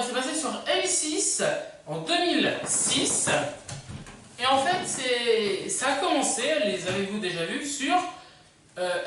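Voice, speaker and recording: A woman presents a countdown casually in a very roomy, slightly distant recording. Her voice is high and lively, and she speaks slowly with hesitation. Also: a little hiss or hum. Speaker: video host. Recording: very echoey, distant from microphone.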